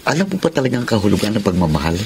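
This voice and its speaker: An actor playing an earnest, principled friend who speaks frankly in a Filipino romantic radio drama. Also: male voice, fairly low pitch, sing-song, very fast, noisy background. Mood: earnest, reproachful.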